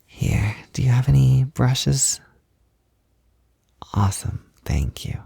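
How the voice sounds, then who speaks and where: A man playing a tender, encouraging partner in an intimate roleplay audio, speaking softly in a gentle, hesitant, reassuring way. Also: mid-range voice, sing-song, slow pace, no room sound, clean studio sound, exaggerated voice. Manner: gentle, reassuring, hesitant, with breathy whispered moments. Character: tender, encouraging man comforting partner while doing makeup. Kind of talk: intimate roleplay audio, soft ASMR talk.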